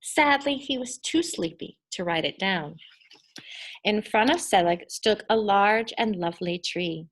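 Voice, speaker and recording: Female storybook reader, middle pitch, unhurried and deliberate, expressive delivery with dramatic word emphasis. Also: poor audio.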